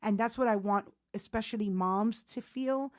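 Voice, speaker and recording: A woman's voice: medium pitch, moderate pace. Outspoken, playful woman podcast guest. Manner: outspoken, playful, repeats phrases for emphasis, swears freely. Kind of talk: casual candid chat.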